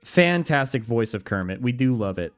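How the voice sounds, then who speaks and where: A man's voice: mid-range voice, big pitch swings, normal speed, soft background music. A young man streaming a video game, chatting casually with his viewers, reading their messages aloud and laughing easily. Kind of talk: casual gameplay commentary.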